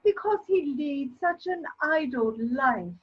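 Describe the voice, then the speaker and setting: A woman's voice: high voice, lively intonation, slow. A woman playing a grumbling but gallant older aristocrat, a father, in a period stage comedy, on a slightly dull microphone.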